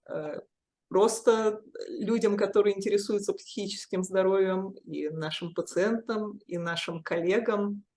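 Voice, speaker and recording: A woman at a medium pitch, leading a professional interview. She speaks articulately and builds long, structured sentences as she frames her questions. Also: a little mellow. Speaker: interviewer, deputy editor of a journal.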